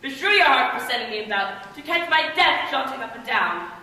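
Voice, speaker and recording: A woman's voice: sits high, animated, fairly quick, sharp tone. A woman acting a garrulous, weary, teasing old nurse in a Shakespeare play, theatrical and complaining. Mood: moaning, aggrieved. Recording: echoey hall, distant mic, faint noise.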